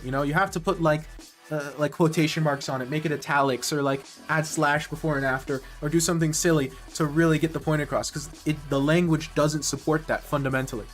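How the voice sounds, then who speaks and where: A man's voice: on the high side, a bit bright. A man streaming live and chatting casually with viewers, rambling and correcting himself mid-sentence with nerdy enthusiasm. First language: English.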